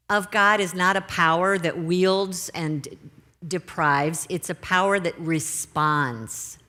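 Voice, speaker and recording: A middle-aged woman delivers a sermon from a church pulpit, her voice pitched low. She speaks gently and without hurry, drawing out key phrases to let them land. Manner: gentle, unhurried, slows for emphasis. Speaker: minister. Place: church sanctuary.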